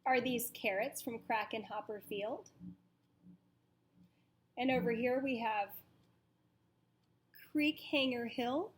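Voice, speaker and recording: Female children's read-aloud teacher. High-pitched, very unhurried, dramatic storytelling, puts questions to young listeners. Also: fairly even intonation, a little hiss or hum. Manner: deliberate, dramatic storytelling delivery.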